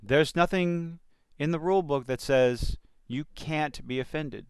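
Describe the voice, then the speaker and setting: Man, opinionated commentary, sardonic and emphatic, smooth clear voice, close dry recording. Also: on the high side, measured pace, dry recording. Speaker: commentator reacting to entertainment news. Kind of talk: opinionated commentary with quotes read out.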